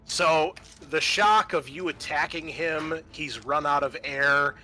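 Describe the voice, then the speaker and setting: Bright-voiced man running a tabletop game. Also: on the high side, takes it slowly, soft background music. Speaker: game master. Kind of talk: running a tabletop roleplaying game, improvised narration.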